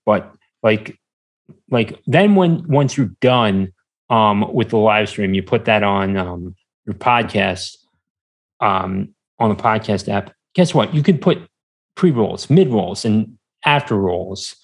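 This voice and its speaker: A man as a podcast guest, talking casually and haltingly as he searches for words. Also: lowish voice, lively intonation. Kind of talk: casual conversational interview.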